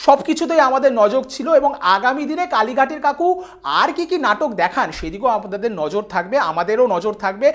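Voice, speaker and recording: High-pitched woman presenting dramatic news commentary. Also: brisk. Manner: theatrical, rhetorical, sarcastic emphasis with repeated questions. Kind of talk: scripted news commentary for an online channel.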